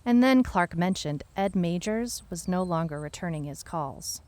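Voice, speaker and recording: A woman's voice: fairly low pitch, expressive, moderate pace, darkish tone, natural voice. An actress playing a wry, skeptical private detective, narrating her mystery story in a dry, musing first-person way. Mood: puzzled, uneasy. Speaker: narrator and lead character of an audio mystery serial.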